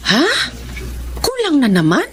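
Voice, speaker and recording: A woman's voice: sits high, huge pitch swings, a little slow, slight background noise, full character voice. A woman playing a harried, evasive mother and nagging partner in a radio drama. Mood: nagging, worried. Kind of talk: Tagalog radio drama acting.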